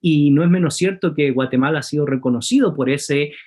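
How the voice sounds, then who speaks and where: A man moderates a television panel with a slightly raised voice. He speaks in a measured, formal way and addresses the guests by name. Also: fairly high pitch, darkish tone. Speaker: TV talk show host.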